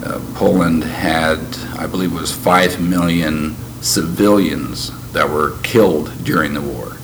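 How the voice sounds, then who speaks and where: Older guy, real low voice, kinda pausey and reflective, grew up in canada, noisy background. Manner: measured, reflective, with frequent pauses and restarts. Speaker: interviewee. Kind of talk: oral history interview.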